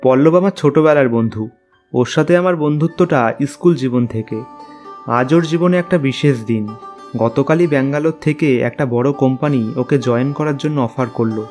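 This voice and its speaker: A man's voice: mid-range voice, moderate pace, darkish tone, faint music behind, close to an everyday voice. A man playing a young journalist, narrating his own ghost story in a scripted cartoon style. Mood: reflective. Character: young male journalist recounting an eerie night. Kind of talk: scripted first-person horror story narration.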